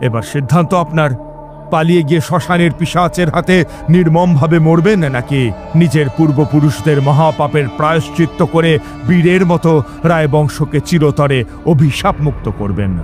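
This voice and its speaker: A man's voice: fairly high pitch, moderate pace, over music, natural voice. A man playing a stern, fearless tantric priest in a horror audio drama, solemn and commanding. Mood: ominous, commanding.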